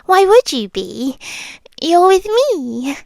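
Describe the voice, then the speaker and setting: A British woman playing a sweetly menacing, possessive vampire girlfriend in an ASMR roleplay, speaking in coaxing, breathy whispers with pauses, close to the microphone. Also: very high voice, very animated, a little mellow, no echo, exaggerated voice. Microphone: close microphone, dry.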